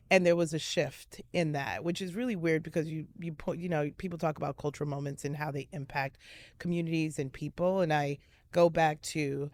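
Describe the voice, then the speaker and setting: Animated woman telling stories. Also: fairly low pitch, no room sound. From Miami, USA. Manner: animated storyteller, lots of likes and restarts. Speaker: interview guest. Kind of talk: casual conversational interview.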